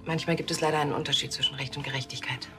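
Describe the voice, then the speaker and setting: A woman's voice: deep voice, fairly even intonation, rapid-fire, music in the background, natural voice. An actress playing a composed, businesslike young policewoman in a TV crime drama, speaking in an official manner.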